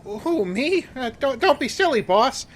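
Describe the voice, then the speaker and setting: A man's voice: very high-pitched, big pitch swings, unhurried, heavily acted. An actor playing a simple-hearted, anxious sidekick in a radio play, hesitant, stammering and folksy in his earnest talk. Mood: nervous wonder.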